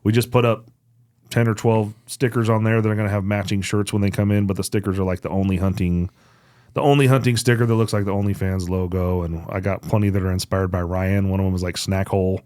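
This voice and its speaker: Laid-back American man, lowish voice, dry sound. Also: steady tone, fast, darkish tone, no echo. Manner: laid-back, jokey, casually profane. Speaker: podcast co-host. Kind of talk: casual podcast chat.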